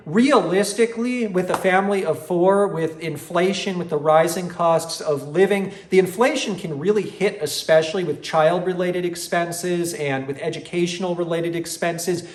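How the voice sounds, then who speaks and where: High-voiced man in a roomy echo. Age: 40s. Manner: emphatic, repeats words for stress. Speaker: host of an investing channel. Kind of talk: explanatory talk to viewers. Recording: roomy, echoing room sound.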